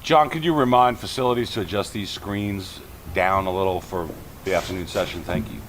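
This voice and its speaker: A man playing a dry, firm trial judge in a naturalistic audio drama, unhurried and understated, with hesitant pauses. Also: low voice, noisy background. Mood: businesslike.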